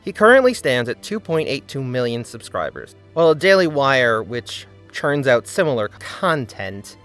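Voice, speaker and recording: A man's voice: medium pitch, very animated, normal speed, fairly bright tone, soft background music. Man narrating a critical video essay. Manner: earnest, critical commentary.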